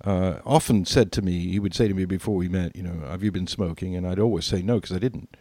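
A man's voice: sits low, fast, warm tone, no room sound. An older man co-hosting a podcast in casual chat. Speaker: podcast co-host.

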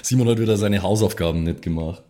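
A man playing a tabletop role-playing game, improvising in casual, halting, informal chat. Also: lowish voice, dark tone.

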